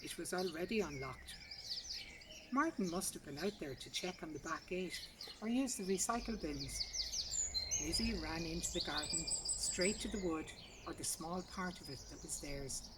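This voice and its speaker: A woman's voice: on the low side, expressive, normal speed, very noisy. A woman reading a literary short story aloud outdoors in a garden. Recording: distant mic, loud outdoor birdsong. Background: birdsong.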